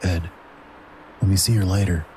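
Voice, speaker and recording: An actor playing a wry, sardonic stage magician in an audio drama. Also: male voice, low voice, fairly even intonation, normal speed, noisy background, natural voice. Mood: cool, wry.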